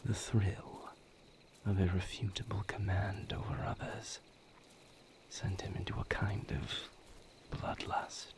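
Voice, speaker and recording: A man playing a weary vampire lover who confides a painful past in a hushed, halting, solemn voice, as an intimate audio roleplay. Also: low voice, little pitch movement. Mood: somber, haunted. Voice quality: hushed, breathy whisper with gravelly creak.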